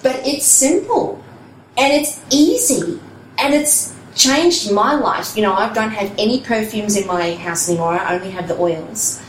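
A woman's voice: medium pitch, lively intonation, measured pace. A woman hosting an interview, enthusiastic and rambling with lots of fillers. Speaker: podcast host. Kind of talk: conversational interview. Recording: echoey room, faint hiss.

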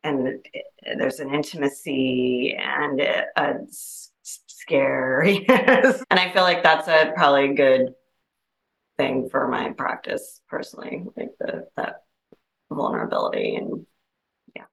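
A woman's voice: a bass voice, very animated, unhurried, gravelly. A woman sharing reflections casually in a group discussion, hesitant and searching for her words. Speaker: participant in a Zen group discussion. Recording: roomy, echoing group-room pickup.

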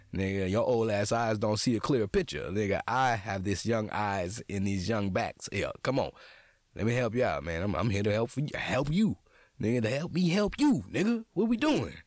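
A young man hosting a podcast who tells stories casually with lots of slang and profanity, his voice a little raspy. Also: lowish voice, fairly quick, no echo, clean studio sound. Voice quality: slightly raspy. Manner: profane, animated, slangy, talks straight to listeners. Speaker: podcast host. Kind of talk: casual storytelling monologue.

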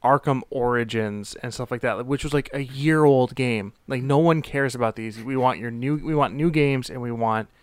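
A man with a smooth, resonant voice chatting about games on a podcast, recorded dry and close. He talks loosely, reacting often and filling gaps with "like". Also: mid-range voice, moderate pace, few pauses, no echo. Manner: reactive, many fillers like "like". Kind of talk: casual gaming chat.

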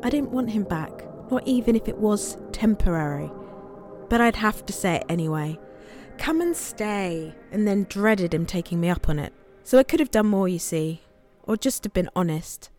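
Woman plays guilt-ridden sister in a radio play, confessional, colloquial, mid-pitched, faint music. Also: expressive, normal speed, darkish tone, lightly acted. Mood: guilty. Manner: colloquial, self-questioning. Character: guilt-ridden, anxious sister of a missing man. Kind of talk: audio drama, confessional monologue and dialogue.

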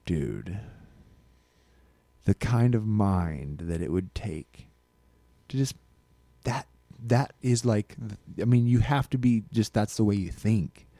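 Young man, tipsy and rambling, swears freely, mellow dark tone, dry close sound. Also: fairly low pitch, slow, long pauses, no room sound. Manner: rambling, swears freely, does silly voices. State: tipsy from scotch. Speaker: podcast co-host. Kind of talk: casual chat over drinks. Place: indoor room.

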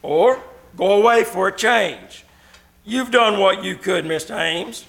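Radio drama cop: male actor, forceful and creaky, blunt, skeptical, quick to wisecrack. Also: high-pitched, exaggerated voice. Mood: dismissive. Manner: blunt, colloquial, dismissive. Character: brusque, skeptical, wisecracking old-time city policeman. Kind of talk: old-time radio suspense acting.

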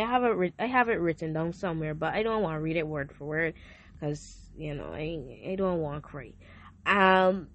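A young woman hosting a faith talk show and sharing a personal testimony. She speaks earnestly, often repeats herself and restarts her sentences, and her voice sounds a little hoarse. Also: medium pitch, fairly bright tone. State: hoarse from a sore throat. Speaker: podcast host.

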